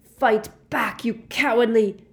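A woman voicing a desperate, furious teenage boy wizard, yelling and screaming in a dramatic audiobook style. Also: a little high, fairly even intonation, slow, vocal fry, voice pushed up. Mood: desperate rage.

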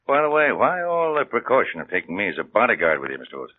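An actor playing a calm, dry-witted private detective hired as a bodyguard, in 1940s radio drama style. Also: male voice, lowish voice, racing. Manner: laconic and dry. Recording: tinny old radio broadcast.

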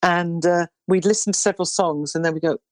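Low-voiced woman in her sixties. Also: fairly even intonation, fairly quick, no echo, clean studio sound. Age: 60s. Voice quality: low baritone voice. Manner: rambling, warm storytelling with restarts. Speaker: singer. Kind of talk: relaxed interview chat.

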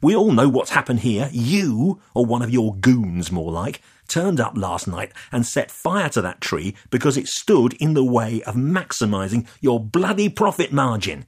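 A man reading an audiobook and voicing a sharp, scornful woman campaigner who snaps and sneers. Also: lowish voice, expressive, moderate pace, breathy edge. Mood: furious. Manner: contemptuous, snapping and sarcastic.

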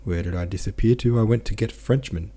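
A man reading the lines of a bold, cheeky male peasant scout, in a hurried, brash way. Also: lowish voice, mellow, lightly acted. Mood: cocky.

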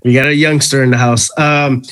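A man's voice: mid-range voice, flat delivery, normal speed, fairly bright tone, clean tone, low-quality recording. A man from New Jersey hosting a podcast interview in a casual, informal way, joking and swearing freely. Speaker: podcast host interviewing a band. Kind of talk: casual chat.

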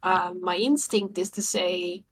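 A woman's voice: fairly low pitch, steady tone, unhurried, rough recording. A woman from the Netherlands, a writer and player, talking in a wry, self-aware way with laughter. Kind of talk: casual podcast chat.

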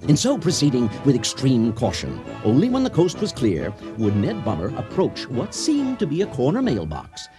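An actor playing a pompous, effusive storyteller and ceremony announcer in a mock-dramatic radio play. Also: male voice, medium pitch, huge pitch swings, moderate pace, few pauses, over music. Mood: mock solemn. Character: grandiose, excitable male storyteller and ceremony announcer.

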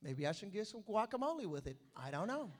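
A man preaching a sermon as pastor, animated, addressing the congregation with call-and-response asides. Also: high voice, a little fast.

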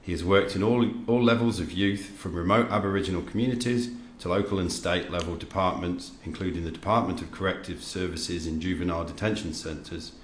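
A man hosting a podcast, reading out a guest introduction in a measured way and asking interview questions. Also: low voice, slight background noise. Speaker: podcast host. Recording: echoey room.

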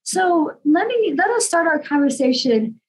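A woman's voice: extremely high pitch, very animated, unhurried, poor audio. A woman hosting a podcast, introducing her guest and asking questions in a friendly, affirming way. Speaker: podcast host. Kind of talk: podcast interview.

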